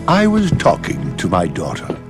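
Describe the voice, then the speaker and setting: A blustery middle-aged father with a gravelly, lively voice. He is blunt and protective, a game character with music behind him. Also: low voice, normal speed, full character voice. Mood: proud.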